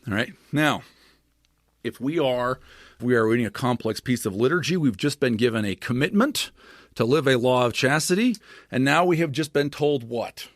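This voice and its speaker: A man on a podcast as a guest, explaining scripture in an animated, expressive teaching manner with frequent restarts. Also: medium pitch, normal speed, no room sound, studio quality.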